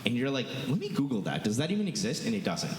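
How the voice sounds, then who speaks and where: A man arguing his point quickly on a conference panel, firing off rhetorical questions. His mid-range voice echoes through a large ballroom, with a faint hiss in the background. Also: mid-range voice, wildly swooping pitch.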